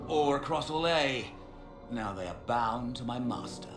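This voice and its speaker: A man's voice: medium pitch, animated, unhurried, close to an everyday voice. Man playing a smug, pompous villain mage with swooping delivery. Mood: gloating. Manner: pompous, gloating, theatrical. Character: smug fanatical male mage villain serving a dark master. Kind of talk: dramatic fantasy game acting. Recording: echoey, with music behind.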